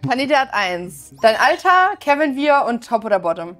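A woman's voice: sits high, big pitch swings, normal speed, a bit bright, faint music behind. A young woman hosting a dating video, calling up the candidates. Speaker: dating show host.

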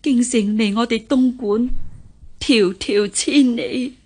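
An actress playing a grave, caring older lady of the house, giving solemn, measured instructions in a historical radio drama. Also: on the high side, mellow, husky. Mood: solemn, instructing.

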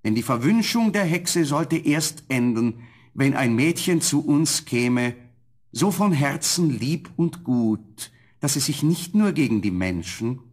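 An actor playing a noble, tender young prince in a fairy-tale audio play, with a solemn, courtly manner and a husky voice. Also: male voice, medium pitch, darkish tone.

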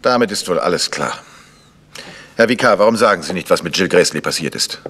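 Ein Schauspieler, der in einem Krimihörspiel einen selbstsicheren, bohrend fragenden Amateurdetektiv spielt. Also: male voice, deep voice, expressive, fairly quick, a bit bright, in a large hall, full character voice. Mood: insistent. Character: probing, self-assured male amateur detective. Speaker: amateur detective. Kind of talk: vintage German radio crime drama acting.

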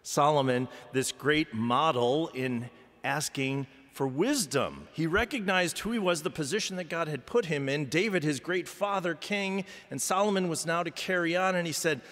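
A middle-aged man preaching a chapel sermon in measured, emphatic phrases with deliberate pauses. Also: on the high side, fairly bright tone. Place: large chapel, indoors at a pulpit. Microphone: gooseneck lectern microphone. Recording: reverberant hall with long echo.

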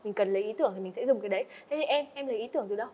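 A woman's voice: medium pitch, highs cut off. A young woman hosting a casual interview podcast on a studio set, chatty and curious. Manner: chatty, curious, quick to react. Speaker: podcast host.

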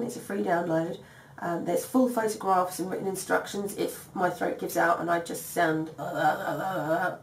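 A woman in her thirties, voice strained from a recent cold, chats through a sewing tutorial with many ums and apologies. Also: fairly low pitch. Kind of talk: casual craft tutorial. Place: home dining room by garden windows. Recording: echoey room, slightly distant mic.

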